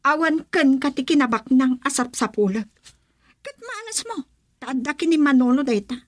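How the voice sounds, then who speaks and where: A woman's voice: sits high, lively intonation, moderate pace. An actress playing a blunt, practical young woman in a radio drama, insistent and with a Filipino accent. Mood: coldly resolute. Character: practical, blunt young daughter leaving her sweetheart for money. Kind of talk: Ilocano radio drama acting.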